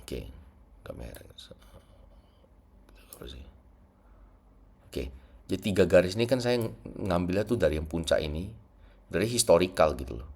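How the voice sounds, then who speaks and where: A man's voice: low voice, big pitch swings, normal speed, gravelly, long pauses, darkish tone, a little hiss or hum. A man with a congested, stuffy nose, explaining trading charts in a casual screen-share tutorial and mixing English terms into his talk. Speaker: trading teacher.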